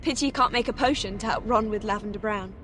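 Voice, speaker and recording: A woman playing a bossy, clever, sardonic teenage British witch in a video game, brisk and prim. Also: mid-range voice, fairly bright tone, a little hiss or hum, natural voice. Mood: pointed. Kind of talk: scripted video game acting.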